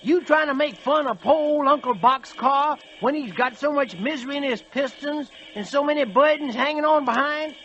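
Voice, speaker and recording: A man's voice: very high voice, moderate pace, few pauses, sharp tone, a little hiss or hum. A man playing a grumbling, put-upon old freight train in a folksy drawl, in 1940s radio acting style. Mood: scolding. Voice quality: folksy drawl with dialect grammar. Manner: querulous, self-pitying, scolding. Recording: dull vintage radio recording with music.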